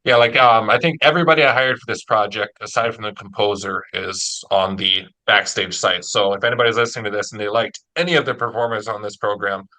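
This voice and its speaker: A man's voice: lowish voice, fairly even intonation, fast, hardly any pauses, bright, forceful tone, rough recording. A man chatting casually as he hosts an interview. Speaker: interviewer, audio drama producer.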